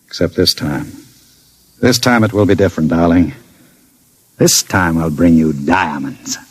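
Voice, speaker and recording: An actor playing a suave, scheming criminal, mock-polite and insinuating, in an old-time radio drama. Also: male voice, deep voice, unhurried, slightly rough, no room sound. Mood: suave, coaxing. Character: suave, scheming male criminal, coolly sinister manipulator.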